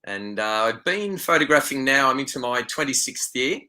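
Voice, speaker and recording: A middle-aged man with an Australian accent talks over a video call. His voice is bright and forward at an ordinary pitch. He comes across as upbeat and chatty. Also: animated, moderate pace. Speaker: wildlife photographer and guest expert. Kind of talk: casual interview talk.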